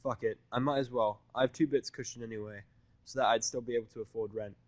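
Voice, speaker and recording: Sardonic, blunt male story hero, read by a man, mid-range, deadpan bite, dry sound. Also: medium pitch, fast, no room sound, close to an everyday voice. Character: sardonic, prickly, foul-mouthed human male.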